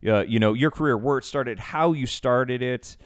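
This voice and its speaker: A man's voice: medium pitch, normal speed, clear, resonant tone. A middle-aged man hosting a casual show, rambling loosely with many fillers as he introduces his guest. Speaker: podcast host. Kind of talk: casual chat.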